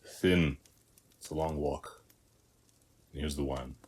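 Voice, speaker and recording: An actor playing a calm, hospitable warrior who guards a seized temple, speaking in an unhurried, measured way in an audio roleplay. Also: male voice, a bass voice, slightly rough, heavily acted. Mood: solemn, frank. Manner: unhurried, measured, with long pauses between short phrases. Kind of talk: scripted audio roleplay, speaking softly to the listener.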